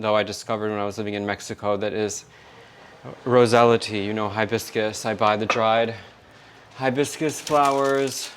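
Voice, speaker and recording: A man's voice: medium pitch, fairly bright tone, slight background noise. A man chatting casually and rambling a bit as he vlogs his day. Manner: chatty, rambling, self-talking. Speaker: vlogger. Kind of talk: casual vlog chat.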